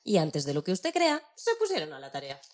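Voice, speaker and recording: A woman narrating a children's story in an expressive storytelling style. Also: low voice, brisk.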